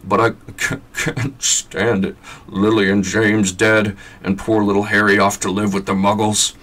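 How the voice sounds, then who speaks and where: A man voicing a big, shaggy, soft-hearted male half-giant groundskeeper with a gruff, blubbering voice. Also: low-pitched, little pitch movement, moderate pace, recorded from a distance, exaggerated voice. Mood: sobbing.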